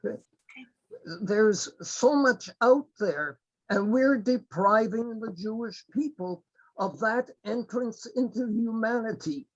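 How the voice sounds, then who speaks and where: A high-pitched man talks slowly and earnestly over a video call, often halting mid-thought and starting again. The audio is dull and lo-fi, with the muffled sound of a webcast link. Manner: halting, earnest, with many restarts. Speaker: webinar participant. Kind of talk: impassioned remarks on a video call.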